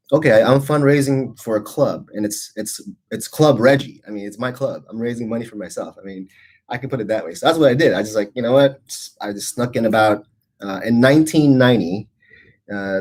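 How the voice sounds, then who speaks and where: A man's voice: lowish voice, darkish tone, breathy edge. A man in his forties, born in the Philippines, hosting a casual livestream show in a rambling, chatty way with lots of fillers and restarts. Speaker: host.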